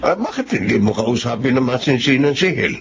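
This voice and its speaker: Gravelly, exasperated older father. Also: mid-range voice, big pitch swings, brisk. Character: exasperated older father advising his married daughter. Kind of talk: Filipino radio drama acting. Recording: dull, noisy radio drama recording.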